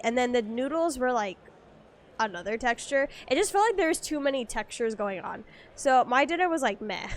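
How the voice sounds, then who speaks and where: A woman's voice: on the high side, fairly bright tone. A woman streaming a game, chatting with her viewers in a rambling, casual way, a little stuffy from allergies. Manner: rambling, lots of fillers and restarts, chatty with viewers. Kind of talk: casual gameplay stream chat. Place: at home.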